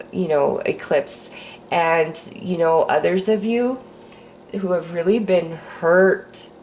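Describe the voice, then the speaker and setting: Hoarse woman reading tarot cards. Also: lowish voice, darkish tone. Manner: breezy and upbeat, with sighs and fillers. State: hoarse from a cold. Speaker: astrology and tarot reader. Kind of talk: casual spiritual forecast with card pulls.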